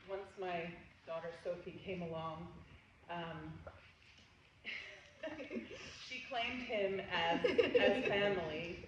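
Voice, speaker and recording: A woman with a loud voice giving a casual, laughing tribute to a friend at a memorial, with many restarts. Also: on the low side. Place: memorial gathering in a large room. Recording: echoey hall, dull distant mic, audible hum.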